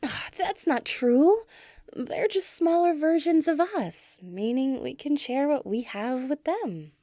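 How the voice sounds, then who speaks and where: A woman playing a gentle, excitable young giantess who dotes on a tiny human, cooing tenderly in an ASMR roleplay. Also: high-pitched, slow pace, dry recording, clean studio sound. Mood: cooing, pleading.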